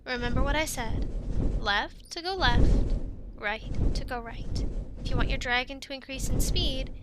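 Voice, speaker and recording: A woman playing a friendly young Viking dragon-riding instructor in an ASMR roleplay, coaching the listener with reassurance and gentle teasing. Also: high voice, unhurried, very noisy. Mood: instructive, gentle. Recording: loud ambient sound effects behind voice. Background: loud ambience sound effects.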